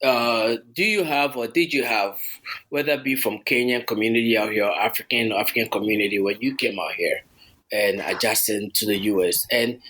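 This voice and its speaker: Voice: medium pitch, normal speed, a bit bright, rough recording. A co-host chatting casually and asking the guest follow-up questions. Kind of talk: casual interview chat.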